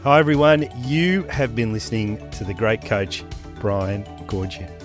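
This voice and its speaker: A man's voice: on the low side, expressive, a little slow. A man hosting a podcast, wrapping up an interview and thanking his guest in a polished way. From Australia. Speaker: podcast host. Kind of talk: interview wrap-up and outro. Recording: dry close mic, faint music behind.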